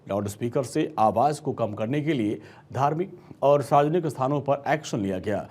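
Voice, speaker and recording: Male news anchor, mid-pitched, slightly husky voice, emphatic scripted narration. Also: expressive, moderate pace, darkish tone.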